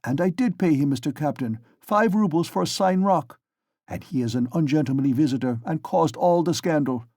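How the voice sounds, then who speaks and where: A man voicing a flustered, garrulous landlady with a German accent, pattering rapidly as she defends herself. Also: on the high side, lively intonation, darkish tone, no echo, studio quality. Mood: indignant pleading. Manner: rapid pattering, repeated "Mister Captain".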